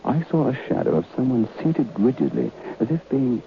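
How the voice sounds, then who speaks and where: An actor playing a haunted, curious former student who narrates a vintage radio horror tale in a deliberate, literary, brooding way. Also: male voice, mid-range voice, very animated, creaky. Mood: intrigued, hushed. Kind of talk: dramatic first-person horror narration. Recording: muffled, noisy old radio recording.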